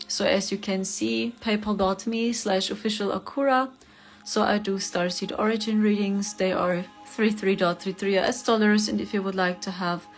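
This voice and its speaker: A woman whose first language is German, hosting a casual spiritual livestream, reading viewer comments and laughing often. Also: medium pitch. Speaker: spiritual reader, livestream host.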